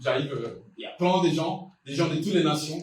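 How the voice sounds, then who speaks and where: A man's voice: a little high, fairly quick, a little mellow, a little hiss or hum. Young Brazilian man preaching in echoey hall. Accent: Brazilian Portuguese. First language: Portuguese. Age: young adult. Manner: conversational, hesitant, many fillers and restarts. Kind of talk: informal sermon. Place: church hall. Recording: echoey church hall, distant mic, congregation. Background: congregation responding.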